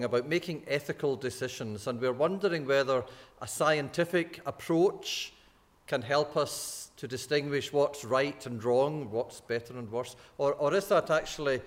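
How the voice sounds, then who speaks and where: A man's voice: medium pitch, fairly bright tone. A man moderating a church panel discussion, genial and joking, often restarting his phrases. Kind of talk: hosting a church discussion evening.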